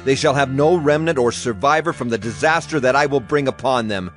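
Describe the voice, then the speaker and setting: A male actor as a grave prophet of ancient Judah in a dramatized scripture recording. He declaims solemnly and emphatically in a mid-pitched voice, stern and full of warning, with music underneath. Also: a little fast, fairly bright tone, natural voice. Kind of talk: dramatized audio Bible acting.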